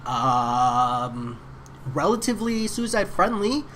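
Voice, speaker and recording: Guy streaming a phone game, chatty w/ viewers, bouncy pitch, little bit of hiss behind. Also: sing-song, takes it slowly, fairly bright tone. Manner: chatty, answers chat viewers. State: voice getting worn. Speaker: gaming streamer. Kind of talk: casual live commentary while playing.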